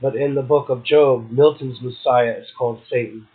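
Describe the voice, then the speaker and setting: Through a thin, phone-like line comes a man hosting a poetry show. He reads literary passages aloud and slips into casual commentary. His pitch sits in the middle of the male range. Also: fairly even intonation, moderate pace. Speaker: poetry podcast host, poet. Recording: muffled, tinny phone-like audio.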